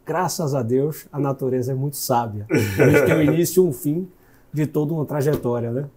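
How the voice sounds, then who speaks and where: Man, 40s, Bahian accent, husky, mellow, measured storytelling guest. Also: mid-range voice. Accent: Bahian Portuguese. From Salvador, Brazil. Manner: reflective, measured recounting of his career. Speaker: guest executive. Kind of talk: interview storytelling.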